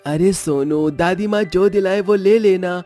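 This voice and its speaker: A woman's voice: on the low side, unhurried, mellow, soft background music, lightly acted. A woman voicing a sensible, serious twelve-year-old boy from a poor village family in a story. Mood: cautioning.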